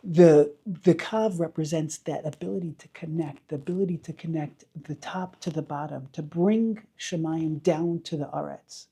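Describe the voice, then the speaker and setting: Man, rabbi teaching informally, mellow tone, gravelly creak, emphatic explanations, rhetorical questions. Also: high-pitched. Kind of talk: informal Torah lesson to camera.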